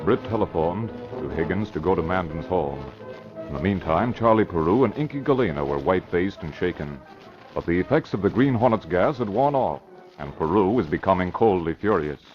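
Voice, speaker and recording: A man's voice: deep voice, animated, fairly quick. A man narrating an old-time radio crime drama in scripted style. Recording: dull vintage radio recording with music. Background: dramatic music.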